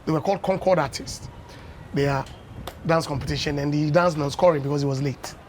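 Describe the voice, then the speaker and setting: Higher-voiced Ghanaian man telling stories. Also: steady tone, darkish tone, a little hiss or hum. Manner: anecdotal storyteller, unhurried with many "you know"s. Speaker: guest, former dance champion. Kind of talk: conversational interview on breakfast TV. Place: television studio.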